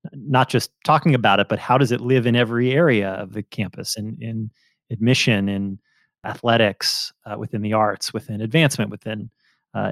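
A man's voice: on the low side, no echo. A man hosting a podcast, interviewing his guests in a conversational way.

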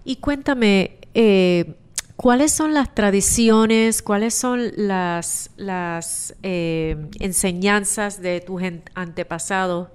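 A woman with a clear, smooth voice, hosting a podcast and interviewing a guest in a conversational way. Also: mid-range voice, slow.

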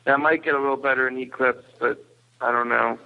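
A man giving his views casually as a podcast guest, halting and rephrasing as he goes, over a narrow phone-like line. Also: medium pitch, steady tone, creaky. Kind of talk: casual discussion.

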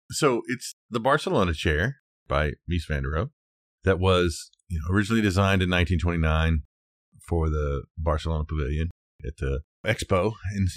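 A man's voice: sits low, dry recording, studio quality. A man co-hosting a casual design discussion, telling researched history in a conversational, hedging way, as if thinking aloud. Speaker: podcast co-host.

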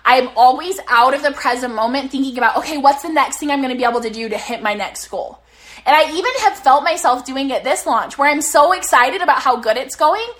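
A young woman sits on her bed and talks straight to her live-stream viewers, coaching them on business with an upbeat, energetic drive and a casual curse or two. Her voice sits high and bright. It is a little strained from days of talking too much. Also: fairly quick. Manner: energetic, swearing, motivational. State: slightly losing her voice. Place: bedroom, sitting on her bed.

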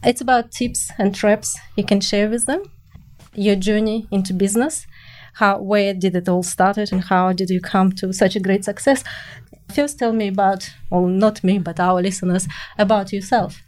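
A woman's voice: mid-range voice, steady tone, darkish tone. Teasing woman host. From Russia. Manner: teasing, playful, quick quips. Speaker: podcast co-host. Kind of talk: casual interview chat.

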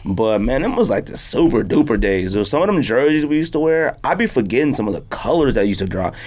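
Male American podcast host, very lively pitch swings, slangy and conversational storyteller. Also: fast talker. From United States. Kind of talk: casual chat about sneakers and hats. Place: podcast studio.

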